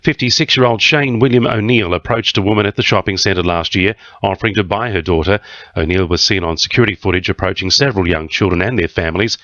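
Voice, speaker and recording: An Australian male newsreader with a fairly low voice delivers a scripted radio bulletin. The sound is dry and close, with a slightly dull broadcast tone. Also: fast, bright, forceful tone, no echo. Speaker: radio news reader.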